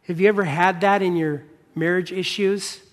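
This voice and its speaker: High-pitched American preacher in a reverberant hall. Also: male voice, steady tone, moderate pace. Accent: American, touch of Midwestern. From United States, born in Michigan. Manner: earnest, emphatic repetition. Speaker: pastor preaching. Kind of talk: sermon. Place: church auditorium.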